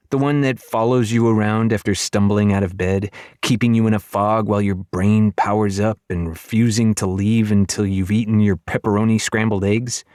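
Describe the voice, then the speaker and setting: A man reading a children's fantasy novel as an audiobook narrator, in expressive, dramatic storytelling style. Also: on the low side, no echo, clean studio sound.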